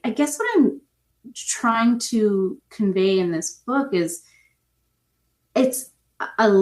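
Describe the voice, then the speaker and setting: Brief: woman author guest, measured and hedging, lots of restarts. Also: mid-range voice, a little mellow. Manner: thoughtful, hedging, many restarts. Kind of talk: podcast interview answers.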